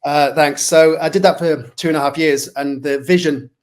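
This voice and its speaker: A man's voice: fairly high pitch, steady tone, moderate pace. Mancunian man in his forties, friendly. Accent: Mancunian English. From Manchester, England. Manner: friendly, self-deprecating, lots of uh and um. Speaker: guest. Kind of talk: casual interview chat. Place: indoor room at home. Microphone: webcam on a video call.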